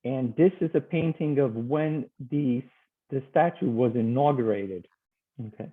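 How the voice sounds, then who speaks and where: A man from Portugal teaches over a video call, friendly and a little hesitant, filling pauses with ums as he walks through his slides. His voice comes through a thin, tinny call line. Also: medium pitch. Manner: friendly, hesitant, with many fillers.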